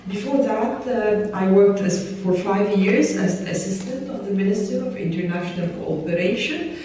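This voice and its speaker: A woman professor from Egypt giving an earnest public talk, pausing often with fillers and restarts. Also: medium pitch, warm tone. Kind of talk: public talk to a seated audience. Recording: echoey room voice from far off, faint ambience.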